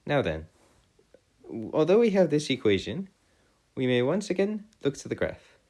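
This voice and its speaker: A man tutoring a math and physics lesson in formal, old-fashioned wording, often halting and correcting himself. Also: a little high, big pitch swings, a little mellow.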